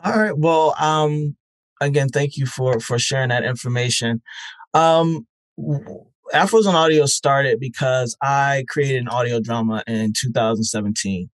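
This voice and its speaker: A man answers interview questions in a measured, thoughtful way, pausing often on "uh" and "um". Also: medium pitch, no echo. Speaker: guest, network founder. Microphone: remote call.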